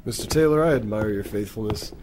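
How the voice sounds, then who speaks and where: Man playing a polite, quick-talking visitor. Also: fairly low pitch, noisy background, natural voice. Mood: concerned, admiring. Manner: polite, questioning. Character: polite, sympathetic visitor to a missionary. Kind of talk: audio drama acting.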